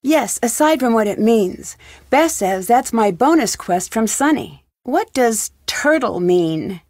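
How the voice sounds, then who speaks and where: A young woman detective for a video game, her voice on the high side and recorded dry. She is inquisitive and level-headed, putting questions in an earnest, probing way. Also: lively intonation, takes it slowly, a little mellow, dry recording, heavily acted. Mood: warm, determined. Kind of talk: video game voice acting, isolated dialogue lines.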